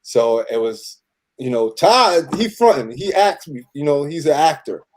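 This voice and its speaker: A man's voice: a little high, sing-song, unhurried, a little mellow. A man talking loosely and slangily as a guest in a casual group interview, often dropping in "you know". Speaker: audio producer and cast member being interviewed.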